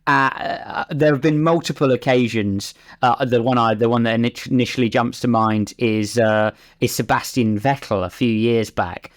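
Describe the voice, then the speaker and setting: Male racing pundit, mid-pitched voice, conversational, emphatic, discursive sports analysis. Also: normal speed, few pauses. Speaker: racing driver, podcast pundit.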